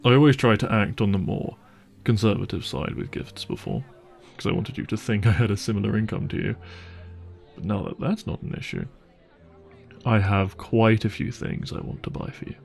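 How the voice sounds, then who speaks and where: A man's voice: fairly low pitch, moderate pace, gravelly, darkish tone, faint music behind. A man playing an apologetic, devoted boyfriend with money in an intimate roleplay audio drama, speaking earnestly and tenderly. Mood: earnest, resolute. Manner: earnest, tender, hesitant phrasing with sighs. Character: apologetic, devoted, secretly wealthy boyfriend on a dinner date. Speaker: voice actor.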